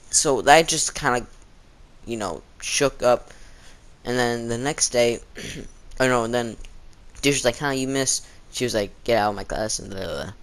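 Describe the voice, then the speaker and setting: A man's voice: medium pitch, fairly bright tone. A teenage male vlogger chatting casually as he tells a rambling story, acting out the people he quotes. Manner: rambling, acts out quoted exchanges.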